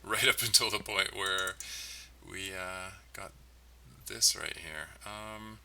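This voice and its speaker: Male developer streamer, halting think-aloud delivery, fry-heavy and very crisp-edged tone, keyboard clicks behind. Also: sits low, pushed and bright, distant mic. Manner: halting, muttering to himself. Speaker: game developer livestreaming. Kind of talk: casual thinking-aloud coding commentary. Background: typing and mouse clicking.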